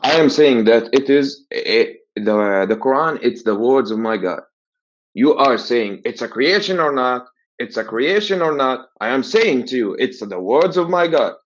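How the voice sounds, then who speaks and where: A man with an Arabic accent, a non-native English speaker, calling into a live-stream debate. He speaks in halting English and keeps insisting that he be allowed to answer. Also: mid-range voice, animated. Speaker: debate caller. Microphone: own mic over a voice call. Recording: dull lo-fi call audio.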